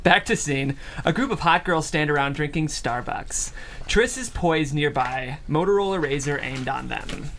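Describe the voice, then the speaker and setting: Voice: mid-range voice, lively intonation, measured pace, fairly bright tone. Host and stage-direction narrator for a table read, medium register, faint noise in the room. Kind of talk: studio talk show hosting and script narration. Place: studio, several mics around a table.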